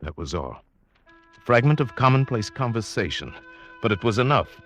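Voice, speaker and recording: An actor playing an uneasy, brooding yachtsman who tells his seafaring story in a measured, literary old-time radio drama style. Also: mid-range voice, big pitch swings, fairly bright tone, soft background music. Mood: intense, haunted. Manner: measured, literary, atmospheric delivery. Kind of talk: 1940s-50s radio drama acting, scripted narration.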